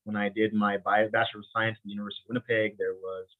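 A man who grew up in the Bahamas, an infectious disease physician speaking as an interview guest, halting and restarting often, with frequent light laughs. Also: fairly low pitch, little pitch movement. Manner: halting, many restarts and repeated words; laughs often while talking. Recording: tinny phone-like line.

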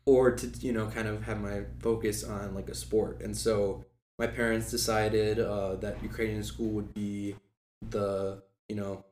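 A man in his early twenties speaks casually as an interview guest. His voice is fairly low and keeps to a very even pitch. He is chatty and conversational, recorded in an echoey room. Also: unhurried. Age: early 20s. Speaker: guest, former student club officer. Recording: echoey room with faint background noise.

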